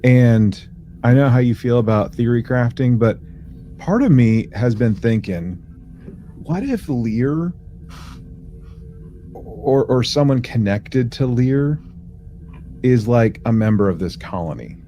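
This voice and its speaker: A man co-hosting a podcast, talking casually and explaining things in a measured way with pauses. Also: mid-range voice, expressive, warm tone, soft background music. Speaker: podcast co-host. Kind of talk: casual discussion.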